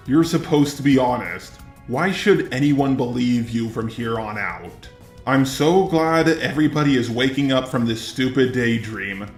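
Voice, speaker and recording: A man's voice: mid-range voice, echoey room. A man delivering opinionated drama commentary in a blunt, profane, indignant way. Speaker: commentary YouTuber, host.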